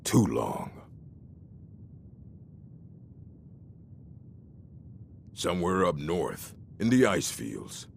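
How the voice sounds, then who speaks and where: An actor playing a gruff, curmudgeonly old orc librarian in a video game, deep and gravelly, with a grumbling, condescending, scholarly manner. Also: male voice, sing-song, measured pace, slight background noise, exaggerated voice. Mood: wistful, grumbling. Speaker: game character.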